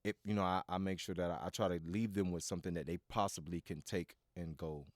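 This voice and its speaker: A man in his thirties from Miami with a very low, deep voice. He rambles loosely through his answers, leaning on filler words and restarting his sentences. The sound is close and dry, with no room echo. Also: fairly even intonation, quick pace. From Miami, USA. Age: 30s. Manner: rambling, full of "you know" and restarts. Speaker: guest, studio owner. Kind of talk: casual interview talk.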